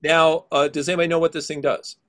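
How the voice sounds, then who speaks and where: A middle-aged man with a low voice teaching an online class over a video call, explaining casually with many fillers. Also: flat delivery, quick pace, a bit bright.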